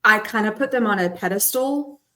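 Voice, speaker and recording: Woman host, 30s, candid storyteller, lowers voice for emphasis. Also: a bit bright. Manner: candid, storytelling, drops voice low and slow for emphasis. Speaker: podcast host. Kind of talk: casual personal chat.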